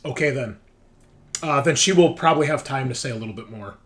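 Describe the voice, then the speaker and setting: A man's voice: mid-range voice, a little fast. A man running a tabletop roleplaying game, narrating off the cuff in a casual, vividly descriptive way. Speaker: game master.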